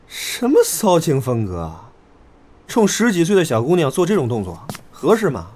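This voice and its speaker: Man acting a cocky, very animated young idol captain. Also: on the high side, moderate pace, slight background noise. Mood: provocative. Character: cocky, teasing, hot-tempered young male pop group captain. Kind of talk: audio drama acting.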